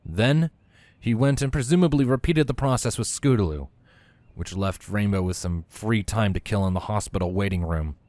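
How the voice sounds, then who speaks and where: A man's voice: on the low side, moderate pace, a little mellow, no room sound. A man reading scripted fiction aloud as a narrator.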